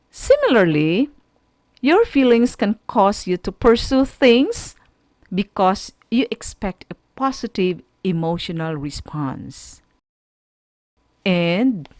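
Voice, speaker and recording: A woman with a Filipino accent teaching a radio lesson to students in a deliberate, didactic manner, often putting questions to the listener. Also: mid-range voice, darkish tone, no room sound. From Philippines.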